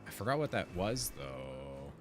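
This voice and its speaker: A man streaming a video game, chatting in an informal, slangy, expressive way and reading quest text aloud. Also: very deep voice, unhurried. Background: game music.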